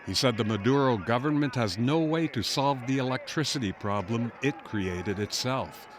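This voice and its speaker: A man's voice: mid-range voice, normal speed. A man narrating a scripted television news report as a correspondent.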